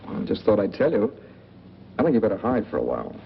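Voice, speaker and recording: Guarded noir drifter: low, creaky, very inflected, casual and coaxing. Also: male voice, wildly swooping pitch, fast talker. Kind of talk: 1940s film noir acting. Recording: dull, noisy old film soundtrack.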